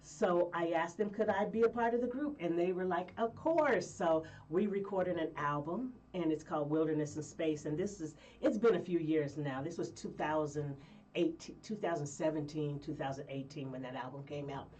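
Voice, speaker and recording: Woman guest from Colorado, lively rambling storyteller, lower pitch, recorded a little distant. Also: moderate pace, slight background noise. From Colorado, USA. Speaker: guest singer. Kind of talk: casual interview chat.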